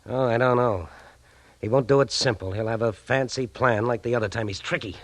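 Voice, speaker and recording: An actor playing a worried male detective in a 1940s radio drama, talking in a quick, tense, confiding way. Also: medium pitch, dry recording, close to an everyday voice. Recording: old radio broadcast.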